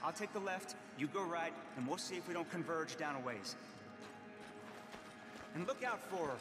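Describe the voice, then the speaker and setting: A man's voice: on the high side, expressive, over music, natural voice. A man playing a nervous, pleading frontier debtor with an American accent and a hesitant manner in a video game. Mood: hushed, wary. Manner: hesitant, placating, halting. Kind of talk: dramatic video game acting.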